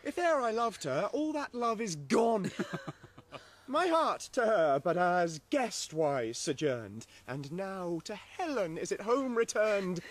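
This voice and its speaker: Young male suitor, animated pitch, unhurried theatrical verse, proud rival in radio play. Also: exaggerated voice. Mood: dismissive. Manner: theatrical, drawn-out verse delivery. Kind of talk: classical Shakespearean radio acting.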